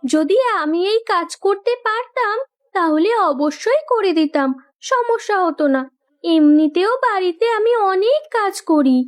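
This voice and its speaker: A woman playing an earnest young landlord's daughter in a Bengali cartoon, gently protesting and caring. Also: very high voice, expressive, measured pace.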